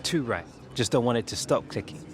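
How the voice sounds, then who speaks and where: An actor playing a cocky, keen young footballer with an English accent and matey, slangy speech in a game cutscene. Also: male voice, medium pitch, lively intonation, brisk, lightly acted. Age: young adult. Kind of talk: video game cutscene acting. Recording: music behind the voice.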